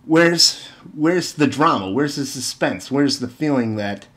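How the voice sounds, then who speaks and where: A man's voice: mid-range voice, lively intonation, a little slow, a bit bright. A man giving informal opinion commentary and retelling stories, with exasperated, sarcastic emphasis and rhetorical questions. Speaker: video commentator.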